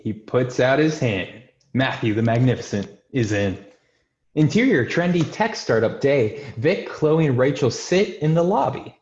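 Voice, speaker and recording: A man's voice: medium pitch, expressive, measured pace, darkish tone. A man narrating a screenplay's stage directions in a scripted table-read style. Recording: roomy, dull remote call audio.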